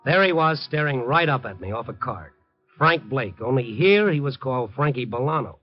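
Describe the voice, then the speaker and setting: An actor playing a streetwise, protective newspaper reporter in a 1950s radio drama, talking in a colloquial, urgent way. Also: male voice, mid-range voice, expressive, moderate pace, soft background music, close to an everyday voice. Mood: narrating. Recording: dull old radio recording.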